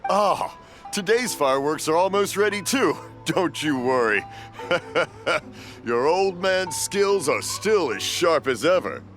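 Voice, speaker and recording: A man's voice: a little high, animated, takes it slowly, over music. An actor playing a jolly, kindly, hard-of-hearing old father in an anime game, drawing out words and easily puzzled. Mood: cheerful. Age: older.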